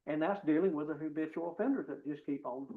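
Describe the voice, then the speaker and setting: A man's voice: on the high side, quick pace. A man chairing a county board meeting in a plainspoken, procedural way. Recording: phone-like meeting audio.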